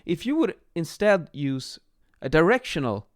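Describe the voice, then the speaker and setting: A man in his thirties explaining as an instructor in an informal lesson, a little halting as they think aloud, recorded on a close microphone in a small room. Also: a little high, sing-song. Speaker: acoustics instructor, presenter. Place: small home office, indoors. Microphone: microphone on boom arm, close.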